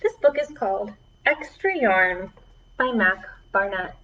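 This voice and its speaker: A woman's voice: medium pitch, animated, a little slow, sharp tone, highs cut off, a little hiss or hum. A woman children's librarian reading aloud to preschoolers, with encouraging asides to the little listeners. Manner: encouraging, addressing small children.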